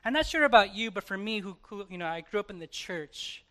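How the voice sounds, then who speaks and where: A pastor preaching a sermon emphatically, moving between hushed and forceful delivery, with repetition and rhetorical questions. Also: mid-range voice, animated, a little fast.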